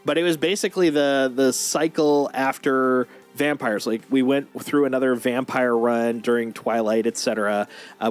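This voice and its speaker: A man hosting a livestream, thinking aloud in a casual, opinionated, rambling discussion. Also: medium pitch. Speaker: livestream host.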